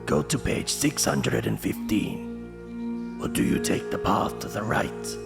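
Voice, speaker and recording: A man playing a menacing fantasy gamebook narrator, addressing the listener with theatrical, deliberate dread. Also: lowish voice, very animated, vocal fry, loud music behind the voice, full character voice. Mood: ominous. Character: ominous gamebook narrator addressing the reader as adventurer.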